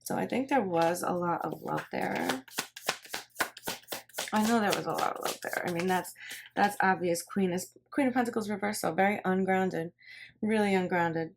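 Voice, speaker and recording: A woman's voice: medium pitch, normal speed. A woman giving a casual tarot reading, thinking aloud in a rambling way and often repeating her phrases. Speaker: tarot reader. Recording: clear background noise, card shuffling and clicks.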